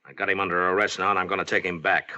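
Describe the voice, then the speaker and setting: An actor playing a stern, unyielding Old West marshal in a radio drama, speaking tersely and with firm authority. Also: male voice, low-pitched, level tone, racing, pushed and bright. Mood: firm, curt. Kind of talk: 1940s-50s western radio drama acting. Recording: muffled old-time radio recording, music.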